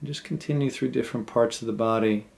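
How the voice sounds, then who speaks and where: A man leading an unscripted guided meditation in a gentle, unhurried way, pausing between short phrases. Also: mid-range voice, creaky.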